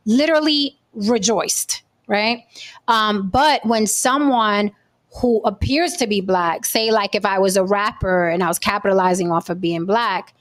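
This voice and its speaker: A woman's voice: medium pitch, measured pace. A woman from Puerto Rico speaking bluntly and with profanity as she gives her opinions in a casual panel discussion.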